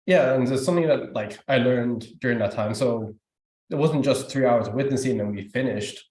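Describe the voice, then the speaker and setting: A man's voice: fairly low pitch, a little mellow. A young man with an Irish accent giving a rambling, informal testimony at a youth service, full of fillers and restarts. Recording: echoey room.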